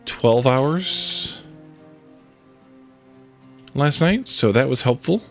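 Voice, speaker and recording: A man's voice: mid-range voice, lively intonation, very slow, faint music behind. A man with a congested head cold, casually thinking aloud as he streams a building game. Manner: thinking aloud, muttering to himself while building. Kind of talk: casual let's-play commentary.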